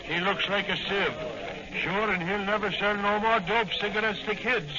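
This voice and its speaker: An actor playing a tough, brisk policeman in 1940s-style radio acting, commanding and clipped, with slangy speech. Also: high-pitched, steady tone, lightly acted. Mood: urgent. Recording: muffled old-time radio recording, heavy crowd noise.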